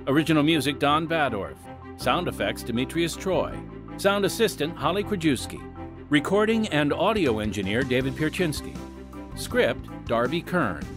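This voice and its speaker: An American man hosting a podcast, reading scripted radio announcements with measured, emphatic phrasing. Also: on the high side, expressive. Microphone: close studio microphone. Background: music.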